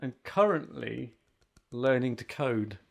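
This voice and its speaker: A man with a mid-range voice talks casually as a podcast host. There is noticeable creak and fry in his voice, and he speaks conversationally over a remote call. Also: mid-range voice, animated, slow pace, loud music behind the voice. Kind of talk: casual chat. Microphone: remote video call setup with headphones.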